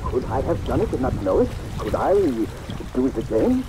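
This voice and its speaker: Vain, swooping theatrical stage star. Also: male voice, mid-range voice, normal speed, background noise. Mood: fretful, dramatic. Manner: grandiose, effusive, flustered hesitations. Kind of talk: vintage radio drama acting. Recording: old radio broadcast under steady rain and water.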